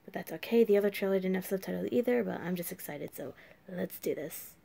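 Young woman, sore scratchy throat, heavy vocal fry, casual reaction chat, webcam mic, faint background hiss. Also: fairly low pitch, fairly quick. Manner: puzzled, exclaiming questions. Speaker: reaction video host. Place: small room at night. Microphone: webcam at desk.

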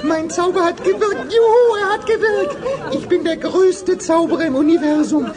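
An actor playing a small, excitable, boastful magician creature in a theatrical children's audio play. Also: very high voice, moderate pace, a crowd in the background. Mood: triumphant.

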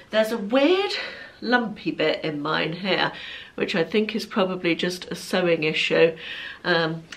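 A woman's voice: mid-range voice, a bit bright. Bunged-up woman in her fifties. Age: 50s. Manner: chatty, rambling, self-deprecating. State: congested from hay fever. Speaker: fashion vlogger. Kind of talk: casual chat. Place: bright living room at home. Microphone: camera across the room. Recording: voice a bit far from mic.

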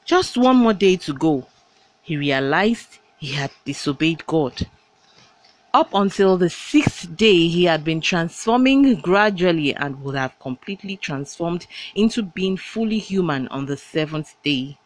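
Slow-paced Nigerian woman storyteller. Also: on the low side, animated, no room sound, poor audio. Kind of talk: scripted folktale narration. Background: background music.

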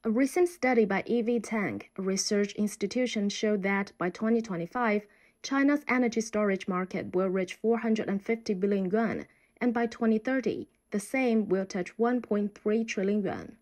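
A woman's voice: mid-range voice, moderate pace, a little mellow. Woman reading a documentary voice-over. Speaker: narrator.

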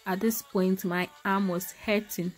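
Slow-talking woman cooking. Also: mid-range voice, steady tone, soft background music. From Ghana. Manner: chatty, talks to viewers as "my people", exclaims over the food. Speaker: cooking vlogger. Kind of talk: casual cooking demonstration. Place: home kitchen, indoors.

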